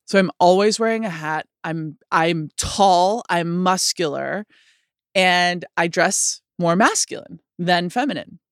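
Low-voiced woman, halting and candid. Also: smooth, dry recording, studio quality. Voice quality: lower voice than most women. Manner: halting, many likes and restarts. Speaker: guest sharing her experience. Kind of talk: candid conversational talk.

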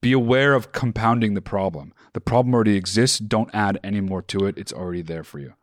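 Blunt, profane man giving slow advice. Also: fairly low pitch, dry recording. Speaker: podcast co-host. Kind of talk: casual podcast advice.

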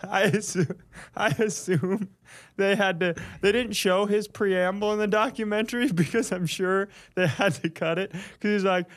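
A man's voice: high voice, steady tone, normal speed. A man hosting a comedy podcast with casual, crude joking banter and a chuckling delivery.

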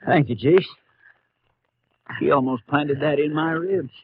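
An actor playing a plain-spoken, wary sheriff with a firm, folksy manner in an old-time radio western. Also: male voice, on the high side, unhurried, close to an everyday voice. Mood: relieved, matter-of-fact. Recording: muffled, faintly noisy old radio recording.

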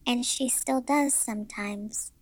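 A woman's voice: fairly high pitch, takes it slowly, dry recording, voice pushed up. A woman voicing an eerie, frightened six-year-old girl in a ghost story, with a childlike delivery. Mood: matter-of-fact, eerie.

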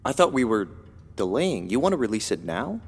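An actor playing a critical male investor in an audio drama, with a measured, businesslike manner. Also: on the low side, sing-song, gravelly, breathy edge, heavily acted. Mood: hesitant, critical. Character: smooth, critical male investor on a company board. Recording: faint music behind.

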